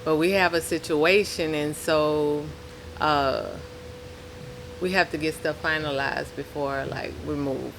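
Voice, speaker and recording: A woman's voice: sits low, fairly even intonation, slow pace, creaky, noisy background. A woman from New Orleans with the local accent, talking casually and easily as an interview guest. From New Orleans, Louisiana. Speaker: podcast guest, rapper.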